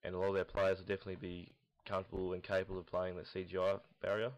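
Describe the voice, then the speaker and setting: A young man giving casual, unscripted esports commentary in a rambling, halting, blunt way, recorded in lo-fi sound. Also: low voice, completely flat delivery. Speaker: host of a gaming team audio log. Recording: dull lo-fi, roomy echo, mouse clicks.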